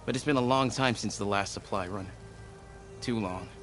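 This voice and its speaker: A man playing a grave, dutiful desert tribesman in a video game, speaking deliberately. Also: on the low side, a bit bright, soft background music, natural voice. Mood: worried.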